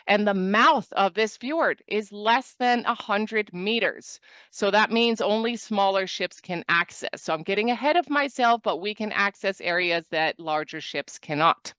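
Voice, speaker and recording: Clear-voiced, informal woman presenting online. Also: medium pitch, a bit bright, dry recording. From Norway. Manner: informal, enthusiastic, joking asides. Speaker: travel company presenter. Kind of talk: promotional virtual presentation. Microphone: video call.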